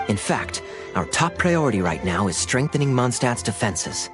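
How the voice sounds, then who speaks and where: A man playing a suave, good-humoured young cavalry captain in a video game, smooth and courteous. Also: mid-range voice, sing-song, normal speed, music in the background, lightly acted. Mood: relaxed, courteous.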